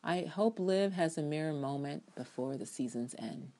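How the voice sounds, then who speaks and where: Female reviewer, low-pitched, reads her essay aloud with theatrical emphasis, sassy and blunt. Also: expressive, normal speed, mellow. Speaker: reviewer reading own TV drama essay. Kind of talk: dramatic reading of a written review with ad libs.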